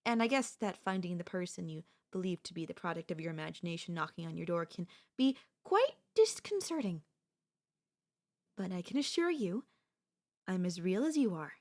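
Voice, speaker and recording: A woman's voice: lowish voice, lively intonation, moderate pace, darkish tone. A woman playing an obsessive, doting yandere stalker in an ASMR audio roleplay, speaking in a coaxing, theatrical way. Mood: concerned, explaining. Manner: theatrical, coaxing, addresses listener as darling. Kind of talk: ASMR audio roleplay, girlfriend-style monologue.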